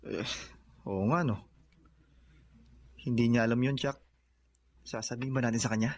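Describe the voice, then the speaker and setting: A man acting a cheerful, loyal young groom-to-be in a radio drama, hearty and expressive. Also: mid-range voice, normal speed, close to an everyday voice. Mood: thoughtful.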